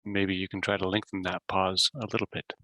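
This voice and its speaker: A man leading a guided meditation as host, giving simple instructions in short phrases with long pauses. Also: low voice, level tone, sharp tone, no echo.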